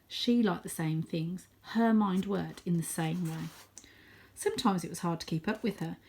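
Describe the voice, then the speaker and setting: A woman reading a children's story aloud in a dramatic manner, dropping to a hushed near-whisper for suspense. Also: on the low side, normal speed.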